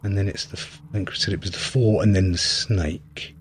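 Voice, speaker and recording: A man's voice: low voice, steady tone, fairly quick, slight background noise. A man giving casual gameplay commentary as a reviewer, often hesitant and thinking aloud. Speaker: video game reviewer.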